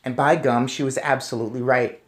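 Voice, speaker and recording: A man's voice: mid-range voice, steady tone, a little fast. A man reading a story aloud and commenting on it as narrator. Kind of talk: read-aloud narration with casual recap.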